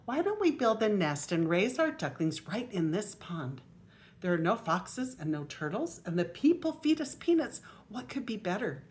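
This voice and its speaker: A woman's voice: medium pitch, big pitch swings, moderate pace, darkish tone, lightly acted. A woman voicing a fussy, easily flustered mother mallard duck from a picture storybook, with an emphatic manner. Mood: decided, hopeful. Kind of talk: read-aloud picture storybook character. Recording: noisy homemade video recording.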